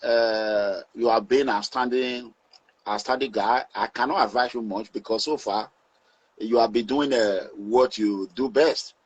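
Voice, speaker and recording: A Nigerian man speaking in a forceful, preaching way as he gives advice to his online audience. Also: mid-range voice, fairly even intonation, a little slow, breathy edge, rough recording.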